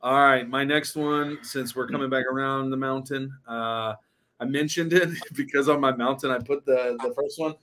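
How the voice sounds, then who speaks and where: A man co-hosting a podcast, talking in a relaxed, casual conversational style. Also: medium pitch, moderate pace, a little hiss or hum. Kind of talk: casual chat.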